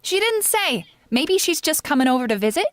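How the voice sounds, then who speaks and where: A woman's voice: high voice, very animated, brisk, a bit bright, dry recording. A woman playing a loyal, wry housemaid in a 1940s-style radio comedy, with a dry, knowing, deferential manner. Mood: matter-of-fact. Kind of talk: 1940s radio sitcom acting.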